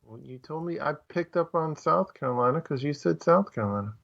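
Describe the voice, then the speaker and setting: A man's voice: a little high, expressive, a little fast, gravelly, lo-fi sound. An American man co-hosting a podcast, chatting casually with a joking, teasing manner. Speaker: podcast co-host. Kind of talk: casual chat.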